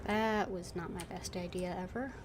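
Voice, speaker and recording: A woman game streamer giving casual, unhurried live commentary and reading bits of dialogue aloud. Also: on the low side, noisy background. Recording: music behind the voice.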